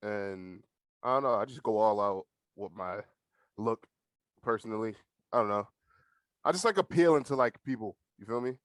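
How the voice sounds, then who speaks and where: A man's voice: lowish voice, moderate pace, pauses often, no room sound. An eighteen-year-old man with an American accent, chatting casually as a podcast guest in a laid-back, slangy, sweary way. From United States. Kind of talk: casual chat. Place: bedroom at home.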